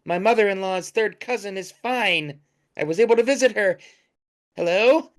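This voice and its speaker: A fussy, self-absorbed visitor in a comic audio play, as an actor performs it. The voice is mid-pitched, wordy and full of complaint, with faint noise and clicks behind it. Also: normal speed, close to an everyday voice. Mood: impatient, pleading.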